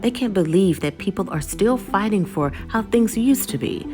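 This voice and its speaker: A woman's voice: mid-range voice, animated, normal speed, over music. A woman narrating a scripted episode recap as the host of a video channel.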